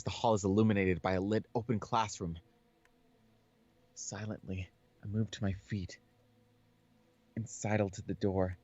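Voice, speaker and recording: Low-voiced man reading aloud, dull mic. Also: a little mellow. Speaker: co-host playing and reading a visual novel aloud. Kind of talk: reading game text aloud with casual reactions.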